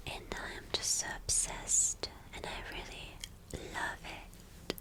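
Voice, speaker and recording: Villainess girlfriend, played by a woman, ASMR roleplay: whispered, very unhurried, spoiled, cruel, possessive, coaxing yet bossy. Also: lightly acted, rough recording. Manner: coaxing, domineering, slow and teasing endearments.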